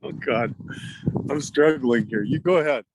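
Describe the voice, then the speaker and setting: A man's voice: mid-range voice, a little slow, background noise. A man in casual group chat on a video call, laid-back and chuckling. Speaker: guest.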